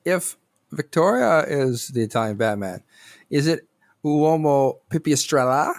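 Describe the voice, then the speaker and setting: Man, playful podcast co-host, asks the questions, expressive pitch. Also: measured pace. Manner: playful, steers the talk with questions. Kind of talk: casual film-discussion chat.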